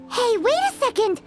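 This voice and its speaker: A tiny floating fairy companion from a game. She is chirpy and excitable and speaks in a very high, sing-song voice that leaps up and down. She talks about herself in the third person, and loud game music plays behind her. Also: heavily acted.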